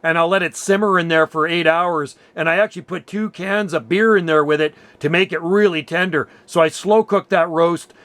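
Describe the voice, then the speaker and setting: A man's voice: on the high side, fairly even intonation, moderate pace, few pauses, a bit bright, dry recording. A man from Canada chatting enthusiastically and casually as an amateur radio operator.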